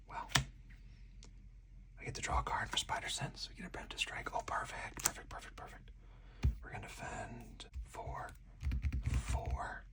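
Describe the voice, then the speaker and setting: A man speaking in a hushed ASMR whisper, thinking aloud as he talks through each move of a solo card game. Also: distant mic.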